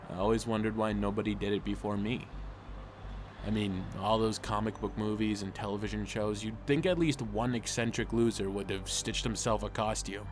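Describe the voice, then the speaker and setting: A man's voice: on the low side, steady tone, normal speed, a little hiss or hum, lightly acted. A man playing a wry, self-deprecating ordinary teenage boy who narrates his superhero story in a comic dub, confiding and sardonic.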